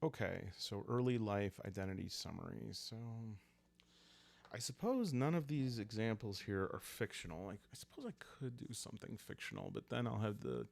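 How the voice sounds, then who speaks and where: Very low, creaky, calm man. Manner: hesitant, musing, unhurried. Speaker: host of a solo roleplaying playthrough. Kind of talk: relaxed ASMR game narration, thinking aloud.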